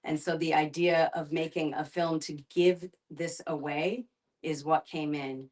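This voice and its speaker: A woman facilitating a group discussion, speaking conversationally and reflectively, often pausing to find her words. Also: low voice, steady tone, a little mellow. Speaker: guest facilitator, end-of-life doula and filmmaker.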